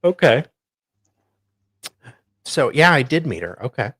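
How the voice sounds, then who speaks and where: A woman's voice: extremely low pitch, sing-song, a little slow, a bit bright, no echo, studio quality. A woman co-host reading documents aloud in a theatrical, mocking way, breaking off for casual asides. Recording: faint music behind voice. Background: faint music.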